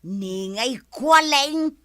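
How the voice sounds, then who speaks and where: An excitable Ilocano village gossip in a radio comedy. Her high, bright voice swings widely as she teases. The accent is Ilocano and the delivery drawn-out. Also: dry recording, heavily acted. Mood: gleeful, teasing.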